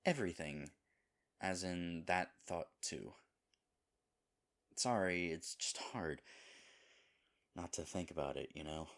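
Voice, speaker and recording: Male actor as himbo boyfriend, low deep voice, sweet, slow-witted, halting, rambling, flustered, intimate audio roleplay. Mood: tender, bewildered. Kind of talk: audio roleplay acting, intimate one-sided dialogue.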